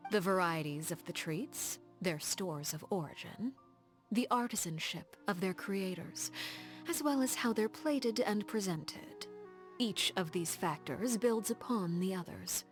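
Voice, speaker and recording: A woman playing a coolly elegant, formal leader of agents in a video game, speaking in a composed, measured and refined way. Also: medium pitch, close to an everyday voice. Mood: composed, informative. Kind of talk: scripted video game character dialogue.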